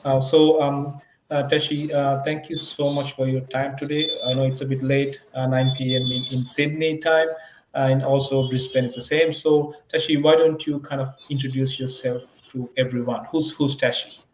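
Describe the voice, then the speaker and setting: A man's voice: medium pitch, flat delivery, moderate pace. A man from Sri Lanka co-hosting a live online interview, speaking hesitantly with lots of ums. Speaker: co-host. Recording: echoey room with faint ambient noise.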